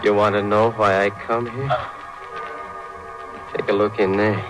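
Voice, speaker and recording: An actor playing a jumpy, suspicious small-time crook in a 1940s radio thriller, stammering and curt in slangy talk. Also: male voice, on the low side, little pitch movement, a little slow, over loud music, close to an everyday voice. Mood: hard, secretive. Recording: old 1940s radio broadcast recording.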